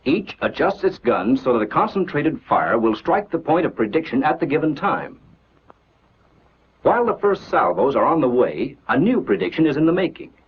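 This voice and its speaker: A man narrating a scripted 1940s military training film in a measured, instructional, declarative manner on an old film soundtrack. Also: medium pitch, sing-song, breathy edge, muffled recording.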